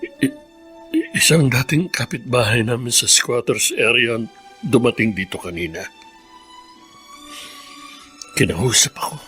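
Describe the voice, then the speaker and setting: An actor playing a remorseful, troubled father in a Filipino radio drama, speaking in a halting, earnest, emotional way. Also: medium pitch, sharp tone, faint music behind. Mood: anguished, confessional. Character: remorseful adult father, troubled by a family secret.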